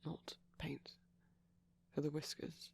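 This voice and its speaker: A man speaking in a soft, breathy ASMR ramble, often slipping into a whisper, gentle, shy and hesitant, close to the microphone. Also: takes it slowly. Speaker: ASMR performer.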